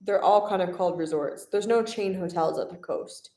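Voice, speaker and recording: A woman's voice: lowish voice, moderate pace, warm tone. A young woman co-hosting a travel vlog livestream, reading out viewer questions in a casual, upbeat way and laughing easily. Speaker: travel vlogger co-host. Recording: roomy echo.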